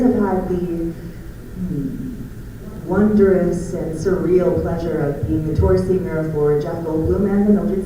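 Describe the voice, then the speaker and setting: A woman's voice: fairly low pitch, background noise. A woman introducing herself casually on a conference panel. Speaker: narrator, singer and panelist. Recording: echoey, distant, dull live recording.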